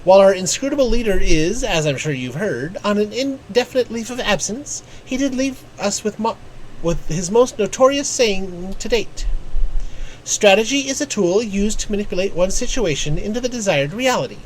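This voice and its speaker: A woman's voice: mid-range voice, lively intonation, moderate pace, close to an everyday voice, rough recording. Someone playing a pedantic bookish arcanist lady from a fantasy game, formal and wordy, bit of background noise. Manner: formal, verbose, analytical. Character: bookish, precise young female arcanist tutor, pedantic and absent-minded. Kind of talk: reading game character dialogue aloud.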